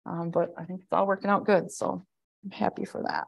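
A woman in her thirties with a loud voice, giving an administrator's report at a county board work session over a video call, talking conversationally with many fillers and restarts. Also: lowish voice, little pitch movement, a little fast, gravelly. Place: indoor office, joining a hybrid meeting. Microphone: webcam on a video call.

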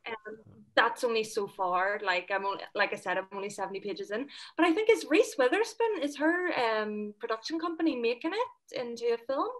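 A woman's voice: on the high side, animated, a little fast, a bit bright, poor audio. A young woman with a Northern Irish accent chatting on a video call from home, enthusiastic and full of fillers. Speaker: panel guest. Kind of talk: casual video call chat. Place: home room indoors. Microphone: laptop webcam on video call.

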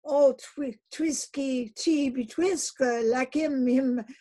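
A woman voicing an excited male harpooneer who speaks in halting, broken phrases. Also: high voice, pitched above the performer's own voice.

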